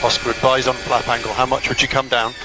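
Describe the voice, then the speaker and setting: Loud engine and tool noise surrounds this male race engineer on team radio. His voice sits at a medium pitch, and he delivers short procedural calls, often repeating them, in a matter-of-fact way. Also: flat delivery, fast talker. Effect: team radio transmission.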